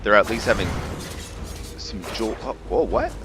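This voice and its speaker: A man's voice: lowish voice, wildly swooping pitch, moderate pace. A man giving casual let's play commentary as a gaming video host, laid-back and thinking aloud. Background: game music.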